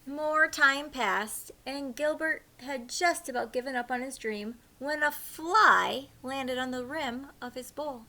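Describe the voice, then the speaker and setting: A woman reading a picture book aloud as a teacher would, animated, with hushed asides to young listeners. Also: high voice, slow, few pauses, rough recording.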